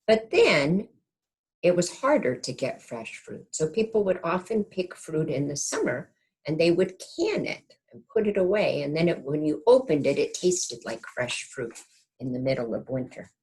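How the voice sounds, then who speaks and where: A woman reading a picture book aloud to young children like a kindergarten teacher, adding explanatory asides and gentle questions to the listeners. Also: deep voice, big pitch swings, moderate pace, poor audio.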